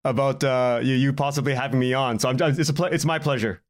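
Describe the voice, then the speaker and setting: A man's voice: medium pitch, steady tone, fast. A man, a podcast guest telling anecdotes in a casual, self-deprecating way.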